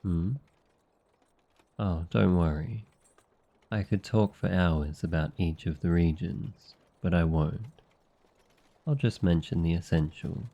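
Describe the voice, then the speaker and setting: Man acting a low, creaky, devoted demon boyfriend. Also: mellow, lo-fi sound, full character voice. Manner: tender, earnest, unhurried. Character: devoted, gentle male demon familiar, noble-born boyfriend. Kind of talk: boyfriend ASMR roleplay.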